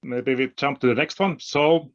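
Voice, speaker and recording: A man's voice: mid-range voice, fairly even intonation, moderate pace. A man from Finland, whose first language is Finnish, presents a small study, often repeating his words. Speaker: conference presenter. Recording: slightly dull lo-fi audio.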